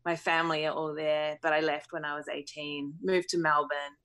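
A woman's voice: deep voice, flat delivery, moderate pace, a bit bright. A woman in her thirties from New Zealand, a musician answering interview questions in a thoughtful, hesitant way with many fillers.

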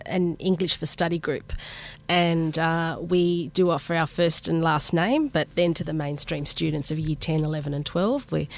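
Low-voiced woman teacher in interview. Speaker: college teacher, interview guest. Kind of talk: conversational interview answers. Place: studio.

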